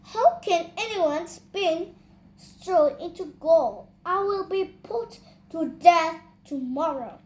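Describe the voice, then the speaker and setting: A despairing young miller's daughter from a fairy tale, played by a childlike reader. She speaks high and very slowly, plaintive and halting.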